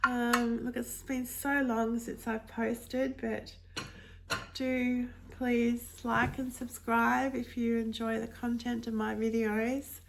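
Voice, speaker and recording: A woman vlogger, nasal and congested from hay fever, casually showing off her thrift-shop finds in a gentle, unhurried way with frequent ums. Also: a little high, little pitch movement, creaky, background noise.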